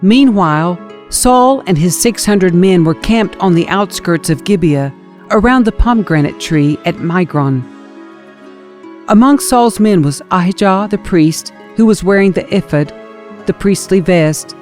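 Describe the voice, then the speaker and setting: A woman's voice: on the low side, animated, unhurried, darkish tone, soft background music. A woman reading scripture as a scripted audiobook narrator, in a storytelling manner. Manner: storytelling, dramatizes quoted speech.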